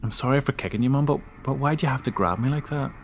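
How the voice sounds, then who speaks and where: A man's voice: medium pitch, a little hiss or hum, pitched above the performer's own voice, lightly acted. Man acting as a distraught, pleading child. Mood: tearful, apologetic. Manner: pleading, halting, emotional. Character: frightened, distraught young child narrator, pleading and emotional.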